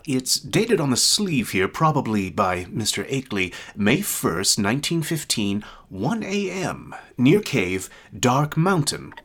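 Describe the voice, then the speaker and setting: A man's voice: medium pitch, a bit bright, husky, exaggerated voice. A man playing an eager, careful presenter of old recordings, talking informally and explaining as he goes. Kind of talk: informal spoken presentation to an audience.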